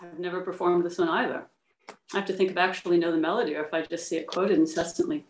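A woman's voice: fairly low pitch, fairly even intonation, quick pace, reverberant, slight background noise, poor audio. A woman on a panel speaking with precise, formal phrasing. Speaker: panelist asking questions.

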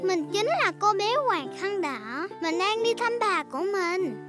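A woman's voice: very high-pitched, big pitch swings, unhurried, loud music behind the voice, full character voice. A woman voicing an eager, bossy, playful little girl piglet in a dubbed children's cartoon. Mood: earnest, performing.

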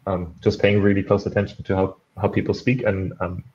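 A man's voice: low voice, little pitch movement, fairly quick, darkish tone. German man, slight Irish lilt, rambling. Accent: German, with a slight Irish tinge. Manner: rambling, full of fillers like "um" and "like". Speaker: meetup participant. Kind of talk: casual video-call chat. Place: bright room at home with shelves, indoors. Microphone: headphones, laptop on a video call. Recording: dull lo-fi call audio.

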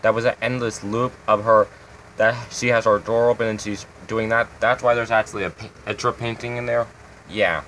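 A man's voice: lowish voice, fairly even intonation, moderate pace, fairly bright tone, slight background noise, poor audio. A man hosting his own game channel, giving a casual, rambling tour of his builds with lots of fillers.

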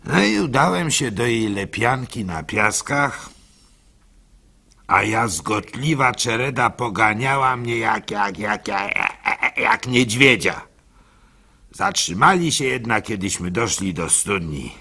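Gullible village fool, radio drama monologue, bright forceful tone, swooping intonation, husky, halting. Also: male voice, lowish voice, animated, heavily acted. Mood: wry recounting. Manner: theatrical, halting, with stammered repeats, sighs and chuckles. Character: gullible, simple-hearted village fool recounting his life. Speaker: actor narrating a radio play. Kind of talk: dramatic first-person monologue in a radio play.

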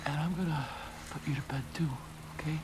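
An actor playing a desperate father guarding his infected little daughter, swinging between shouts and whispers and swearing. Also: a little high, fairly even intonation, brisk, lightly acted. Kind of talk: video game cutscene acting. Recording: loud rain noise behind.

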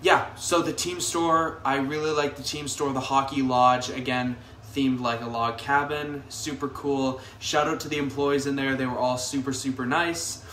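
A man's voice: medium pitch, fairly even intonation, normal speed, hardly any pauses, a bit bright, a little hiss or hum. A man in his twenties giving a casual, upbeat arena review to camera from a hotel room, with easygoing fillers and restarts. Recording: echoey room, mic a bit distant.

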